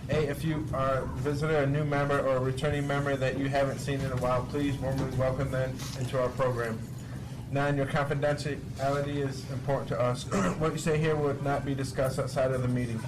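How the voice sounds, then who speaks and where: A man's voice: medium pitch, level tone, brisk. A man reading meeting guidelines aloud with small stumbles. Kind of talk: reading a list of rules aloud. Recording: noisy, distant mic.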